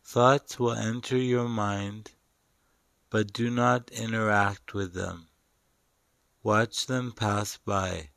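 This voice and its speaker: A man guiding a meditation in short, deliberate phrases with long pauses between them. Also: lowish voice, steady tone, gravelly, fairly bright tone, no room sound. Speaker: meditation guide. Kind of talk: guided meditation narration.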